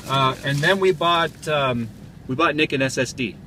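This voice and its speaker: A man's voice: fairly high pitch, moderate pace, bright, forceful tone. An American man in his thirties chatting casually and jokingly as a vlog host, in slangy everyday speech. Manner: jokey, slangy. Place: mostly inside a car. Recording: noisy car interior, road noise.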